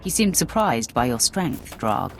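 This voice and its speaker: Cold Sith warrior with swooping pitch. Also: female voice, sing-song, unhurried, soft background music, heavily acted. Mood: cold resolve. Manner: terse, imperious. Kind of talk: dramatic video game acting.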